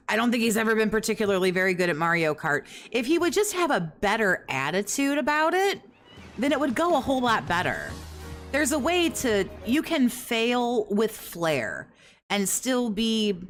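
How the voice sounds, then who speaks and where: A woman giving reaction commentary in a fairly monotone, rambling way, critical and swearing often. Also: mid-range voice.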